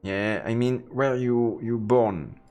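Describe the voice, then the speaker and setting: A man doing live let's play commentary and reading game text aloud, halting and repeating words as he goes. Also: mid-range voice, a little mellow. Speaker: game streamer. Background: faint game music.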